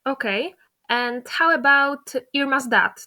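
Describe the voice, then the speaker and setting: A woman's voice: sits high, expressive, measured pace, pushed and bright. A woman interviewer chatting casually as she asks questions and comments.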